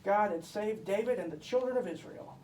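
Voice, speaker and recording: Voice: medium pitch, expressive, a little fast, darkish tone, close to an everyday voice. A performer voicing a boastful, bossy puppet storyteller, pompous and emphatic, telling comic Bible tales. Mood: self-important storytelling. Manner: pompous, emphatic, mock-scholarly. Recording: echoey room, distant mic, faint noise.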